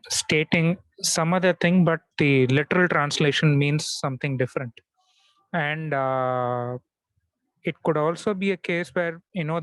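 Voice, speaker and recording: A man's voice: fairly high pitch, clean tone, no echo, rough recording. A man whose first language is Tamil, from India, politely giving feedback in an online meeting, with frequent hesitations. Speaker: audience member.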